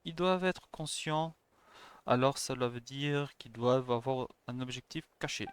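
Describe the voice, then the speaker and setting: A man's voice: medium pitch, moderate pace, clear, resonant tone. A French-speaking man livestreaming a game, reading dialogue aloud and commenting casually. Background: faint game music.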